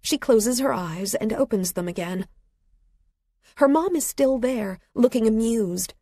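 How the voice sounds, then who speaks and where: A woman reading literary fiction as an audiobook narrator, with a measured, expressive delivery and a slightly husky voice. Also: fairly high pitch, no room sound, studio quality. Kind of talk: scripted literary fiction narration, present tense. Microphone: close studio microphone.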